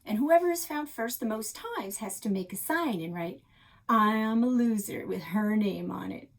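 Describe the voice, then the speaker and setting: A woman's voice: medium pitch, lively intonation, moderate pace. A woman voicing a smug, grumbling young boy who is bossy and competitive, in a children's book read-aloud. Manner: grumbling, snorting, boastful.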